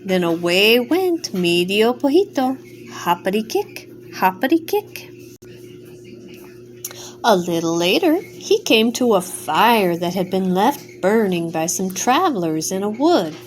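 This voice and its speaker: Slow, lilting grandmother reading a story. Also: mid-range voice, slight background noise, poor audio. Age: older. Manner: expressive, storytelling cadence. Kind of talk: bedtime story read-aloud.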